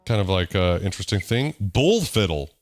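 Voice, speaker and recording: A man hosting a casual livestream, thinking aloud in a relaxed, slightly growly voice as he tries out sounds. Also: deep voice, moderate pace, over loud music. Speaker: livestream host. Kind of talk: casual livestream chat while demoing a synth. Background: loud synthesizer playing.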